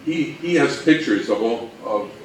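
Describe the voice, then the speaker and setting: A man's voice: mid-range voice, animated, measured pace. A man giving a casual presentation and fielding questions from an audience. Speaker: presenter at a fan convention talk. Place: roomy indoor presentation space with audience. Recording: echoey room, distant mic, audience noise.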